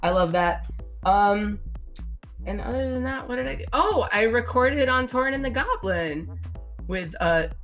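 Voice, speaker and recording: A woman's voice: fairly high pitch, expressive, unhurried, soft background music. A woman with a slightly scratchy voice from a sinus infection, chatting casually as a gaming podcast co-host, full of "like" and "um". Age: adult mother. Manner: chatty, fills with "like" and "um", swears freely. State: getting over a sinus infection, slight cough.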